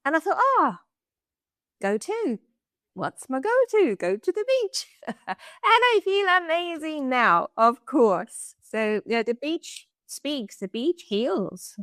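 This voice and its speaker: A woman with an Irish accent, a podcast guest chatting casually in a bubbly, expressive way and laughing easily. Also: high voice, slow, dry recording, studio quality. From Ireland. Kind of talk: casual interview chat.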